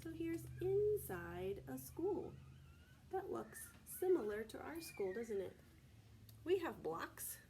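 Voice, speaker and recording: A woman preschool teacher reading aloud to young children in a deliberate, child-directed way, often asking prompting questions. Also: high voice, sing-song, warm tone, a little hiss or hum. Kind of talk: read-aloud and sing-song circle time for young children.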